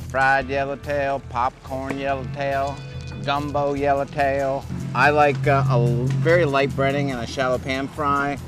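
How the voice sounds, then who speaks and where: A man's voice: medium pitch, little pitch movement, a little slow. Man, easygoing, joking, casual chat, boat, music behind. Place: on a boat offshore. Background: background music.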